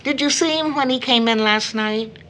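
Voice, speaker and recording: A woman playing a weary, loving mother of sixty in an audio drama, speaking gently and reflectively. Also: a little high, moderate pace, a little hiss or hum, close to an everyday voice. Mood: resigned.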